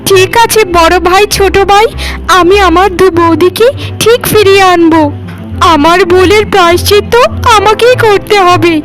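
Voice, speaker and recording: A woman's voice: extremely high pitch, moderate pace, low-quality recording. A woman voicing a guilt-ridden, pleading young village sister in a melodramatic cartoon, with a plaintive delivery. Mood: ashamed pleading. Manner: plaintive, lamenting. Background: faint music.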